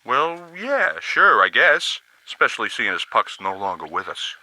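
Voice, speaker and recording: Bright folksy chatty radio buddy. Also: male voice, mid-range voice, very animated, normal speed, dry recording, rough recording. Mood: obliging. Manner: folksy, chatty, plain-spoken. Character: folksy, easygoing, chatty male radio operator. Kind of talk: scripted video game dialogue acting.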